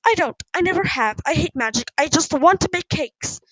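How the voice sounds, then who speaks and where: A woman's voice: fairly high pitch, slow pace, no echo, poor audio. A woman voicing an emotional, defiant schoolgirl witch in a storybook, speaking in tearful outbursts. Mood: tearful shouting.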